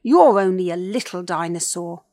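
A woman voicing a huge, wicked male dinosaur in a children's storybook, a loud bully with big theatrical delivery. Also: fairly low pitch, lively intonation, moderate pace, no echo, studio quality. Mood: gloating menace. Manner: loud, menacing, gloating.

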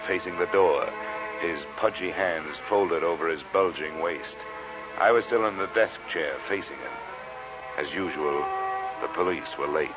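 Male radio detective: actor as wry, clipped investigator-narrator, low voice, muffled vintage recording with prominent music. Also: lightly acted. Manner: wry, clipped, dry-humored. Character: wry, hard-boiled male insurance investigator narrating his case. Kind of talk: 1940s radio acting, hard-boiled first-person narration.

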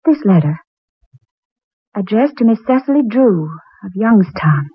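A woman's voice: mid-range voice, big pitch swings, measured pace, breathy edge, no room sound. An actress playing an earnest, wondering woman in a dramatic 1940s radio play. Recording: tinny 1940s radio recording, music behind.

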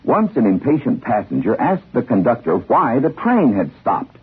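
An American man hosting a 1950s radio show, telling scripted humorous tales in a husky voice. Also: mid-range voice, huge pitch swings, normal speed. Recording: muffled narrow-band 1950s radio recording.

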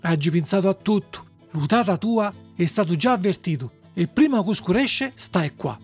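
Un uomo con accento del sud Italia che interpreta una fata calma, severa ma affettuosa, dal tono misurato, in una fiaba. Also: male voice, high voice, moderate pace, breathy edge, faint music behind, heavily acted. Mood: tender. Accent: southern Italian. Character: calm, stern but loving female fairy.

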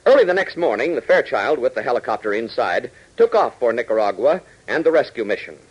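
Animated American radio announcer, slightly husky man. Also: medium pitch, fairly quick, dull audio. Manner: emphatic, dramatic announcer delivery. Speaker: announcer and narrator. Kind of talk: 1940s radio scripted narration. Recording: old 1940s radio broadcast.

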